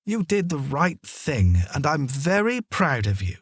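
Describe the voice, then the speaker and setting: A man voicing a worried, excitable mum in a children's story, with an exclaiming, emphatic manner. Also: fairly high pitch, unhurried, husky, no echo, studio quality, voice pushed up, heavily acted. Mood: apologetic, proud. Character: worried, excitable mum who scolds then softens.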